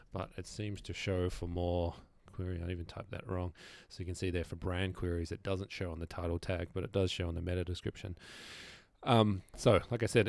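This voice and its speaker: A man's voice: low voice, creaky, darkish tone, no echo. A man presenting an informal screen-share tutorial, explaining things casually and thinking aloud as he goes.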